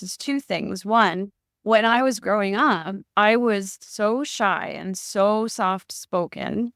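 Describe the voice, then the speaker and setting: A middle-aged woman speaking as a podcast guest, candid and emotional, pausing and correcting herself as she thinks aloud. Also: medium pitch, creaky, a bit bright, clear, resonant tone, no echo, clean studio sound. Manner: thoughtful, candid and emotional, with pauses and self-corrections. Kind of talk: reflective interview conversation.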